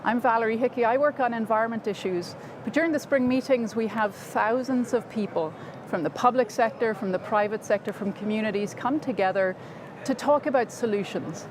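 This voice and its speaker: A woman's voice: on the high side, fairly quick. A woman hosting a livestreamed interview series, introducing her guest and asking questions in an upbeat, fluent presenter manner. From Ireland. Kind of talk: scripted host introduction and questions. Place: outdoors in a city. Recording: outdoor city noise behind.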